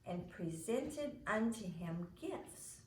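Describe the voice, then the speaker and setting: A patient, proper storybook mother, voiced by a woman reader, speaks slowly and carefully. Her pitch moves expressively in a roomy, echoing space. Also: animated, far from the mic. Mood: solemn. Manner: measured, careful reading and explaining. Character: patient, proper mother directing a church pageant. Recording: roomy echo, faint background noise.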